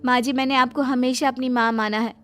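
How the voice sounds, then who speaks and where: Woman acting a high, sweet, earnest young daughter-in-law. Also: steady tone, a little fast, fairly bright tone, soft background music. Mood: tender, earnest. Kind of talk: Hindi cartoon moral story acting.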